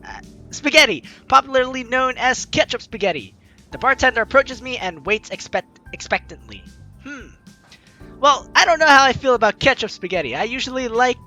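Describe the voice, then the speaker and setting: Female let's-play host, bright lively voice, swings between reading aloud and chatty reactions, faint music behind. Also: high voice. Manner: animated, chatty reactions to the story. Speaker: gaming channel host. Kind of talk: casual let's play commentary, reading game text aloud. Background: game music.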